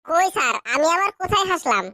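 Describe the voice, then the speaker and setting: A woman's voice: extremely high pitch, lively intonation, fast talker, bright, forceful tone, dry recording, studio quality, heavily acted. A woman voicing a meek, naive and cheeky schoolboy answering in a comic cartoon. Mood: meek protest. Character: cheeky, dim young schoolboy pupils, meek before teacher.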